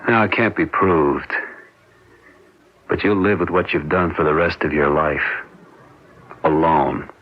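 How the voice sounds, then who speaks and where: Husky, accusing husband. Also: male voice, very deep voice, steady tone, unhurried, long pauses. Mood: cold, final. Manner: pressing, prosecutorial, dramatic. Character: bitter accusing husband, relentless and cold. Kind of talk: 1970s radio drama acting. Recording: dull lo-fi radio recording.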